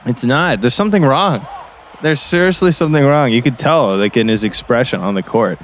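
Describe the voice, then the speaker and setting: Man playing an excitable small-town basketball commentator, noisy gym behind. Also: medium pitch, lightly acted. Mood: concerned. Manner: halting, repetitive phrasing. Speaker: sports commentator. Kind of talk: improvised-sounding basketball commentary in an audio drama.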